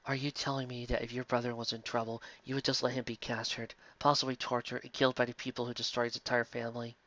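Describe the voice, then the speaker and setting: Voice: medium pitch, flat delivery, quick pace, fairly bright tone, dry recording, lo-fi sound, pitched below the performer's own voice, natural voice. A performer voicing a haughty, hot-tempered, overwrought young heroine in a mocking dramatic reading of fan fiction. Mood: cold, indignant.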